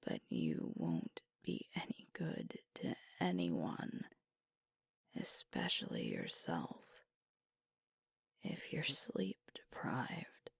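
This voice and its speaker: A woman playing a sweet, protective, gently firm werewolf girlfriend in an ASMR roleplay, soothing and coaxing in a breathy whisper. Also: rumbling low, wildly swooping pitch, no echo, heavily acted. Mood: gentle concern. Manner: soothing, coaxing, reassuring, deliberate pauses.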